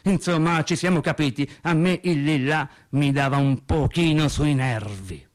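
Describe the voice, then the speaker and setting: A man acting a theatrical radio monologue as an agitated, rambling working man who recalls his childhood in halting, repetitive colloquial speech. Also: on the high side, natural voice. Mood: rambling, bemused. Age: adult over thirty. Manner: halting, repetitive, colloquial storytelling with stammered restarts.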